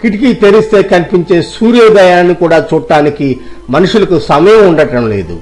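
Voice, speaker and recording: Man playing a wise village uncle, middle-aged, fairly high voice, kindly, earnest, moralizing, storybook drama, music underneath. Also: animated, a little fast, a little mellow.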